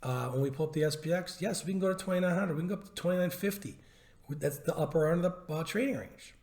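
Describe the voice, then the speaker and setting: A man with a somewhat high, hoarse voice walks through stock charts in a market update. He drives his points home with emphasis, repeats them and throws out rhetorical questions. The voice rings in an echoey room. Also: fast talker, darkish tone. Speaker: stock trading educator.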